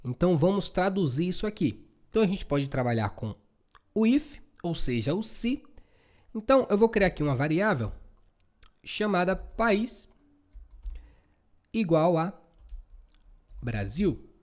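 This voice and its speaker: A man's voice: high-pitched, very animated, a little slow, pauses often, a little mellow. A Brazilian man giving an informal, chatty coding tutorial, often checking in with short tag questions. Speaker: programming instructor.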